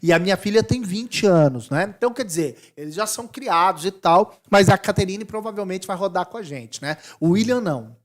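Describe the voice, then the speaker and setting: A man from Brazil in his forties, a missionary talking casually in an interview, animated and thinking aloud with restarts. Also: high-pitched, fairly quick, few pauses. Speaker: missionary guest.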